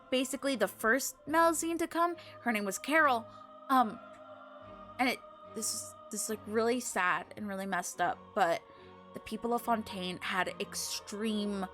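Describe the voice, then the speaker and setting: A woman livestreamer chatting casually with viewers, halting and emotional in a gushing way. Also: medium pitch, fairly bright tone. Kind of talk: casual live game stream chat, recapping to viewers.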